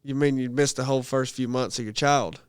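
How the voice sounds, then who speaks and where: A man's voice: medium pitch, steady tone, brisk, smooth, no echo, studio quality. A man co-hosting a podcast, asking probing questions and laughing easily in casual chat. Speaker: podcast co-host.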